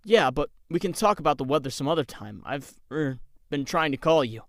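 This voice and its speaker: Guy doing anxious young superhero for a comic dub, self-doubting, kinda high voice, far from the mic. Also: expressive, a little fast, a bit bright. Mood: hesitant. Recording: very echoey room, distant from mic.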